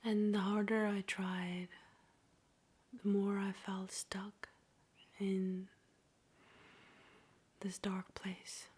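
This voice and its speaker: A woman speaks in a hushed, very breathy whisper, as in a guided ASMR meditation. Her pace is slow, with long pauses, and her pitch stays flat and even. The delivery is gentle and soothing.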